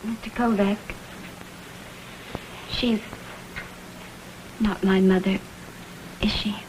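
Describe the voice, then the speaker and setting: Anxious young daughter, mid-range voice, earnest, direct delivery, vintage TV crime drama. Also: female voice, mid-range voice, flat delivery, drawn-out words, darkish tone, slight background noise, rough recording. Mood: pleading.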